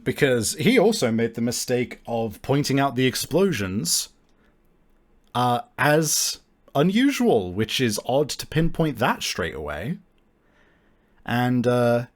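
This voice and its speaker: A man improvising narration as a tabletop game master in a casual, halting, descriptive way. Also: lowish voice.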